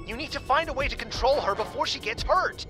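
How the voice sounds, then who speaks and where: A woman's voice: sits high, lively intonation, quick pace, music in the background. A woman playing a commanding spaceship crew member under stress in an audio drama, speaking urgently.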